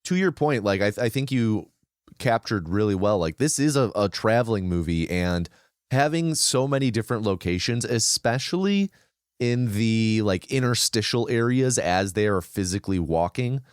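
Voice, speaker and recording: A man's voice: on the low side, expressive, normal speed, smooth, dry recording, clean studio sound. A man co-hosting a casual film podcast, relaying researched behind-the-scenes trivia with frequent fillers and restarts. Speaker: podcast co-host.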